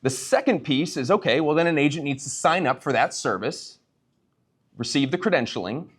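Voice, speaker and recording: A man's voice: fairly high pitch, animated, moderate pace, slightly rough. A man speaking as a startup founder at a tech meetup, conversational, addressing the audience directly and posing rhetorical questions.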